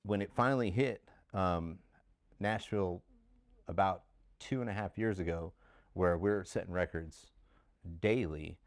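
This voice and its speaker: A middle-aged American man giving unscripted interview answers in a halting, conversational way, as a former air traffic controller. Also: low voice, dry recording.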